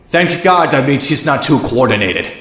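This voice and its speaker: A man's voice: medium pitch, expressive, normal speed, fairly bright tone, close to an everyday voice. Man playing a sardonic young vigilante, roomy sound. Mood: wry tension. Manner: sardonic, dry, self-narrating. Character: wisecracking young male vigilante antihero, cynical and brash. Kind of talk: animated comic narration and dialogue.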